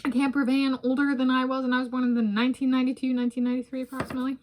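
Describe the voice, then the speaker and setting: American woman, 30s, slightly high-pitched, for casual digressive home food vlogs, chats between bites. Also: level tone, racing, darkish tone. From United States. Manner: rambling, digressive, talks while eating. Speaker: vlogger. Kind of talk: casual food vlog talk. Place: home room with bookshelves, indoors.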